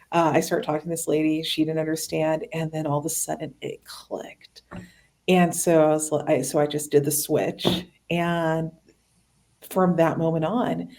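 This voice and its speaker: Low, mellow-voiced woman guest. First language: Spanish. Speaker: guest, coding educator. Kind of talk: casual conversation.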